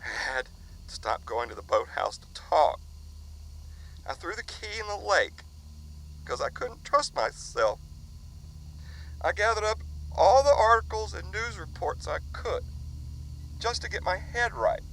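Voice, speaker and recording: An actor playing a frightened father who leaves a halting, confessional farewell recording for his son. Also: high-pitched, sing-song, vocal fry, a little hiss or hum, exaggerated voice, poor audio. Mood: anxious, somber. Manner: halting, confessional, hushed at times. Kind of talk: audio drama.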